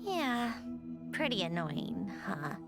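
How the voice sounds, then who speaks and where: A woman's voice: medium pitch, very animated, slow pace. A woman playing an irritable, wistful machine woman who hosts tea parties in a video game, speaking with dry sarcasm over music. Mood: wry, melancholy. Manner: dry, sardonic. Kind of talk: scripted game voice acting. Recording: music behind the voice.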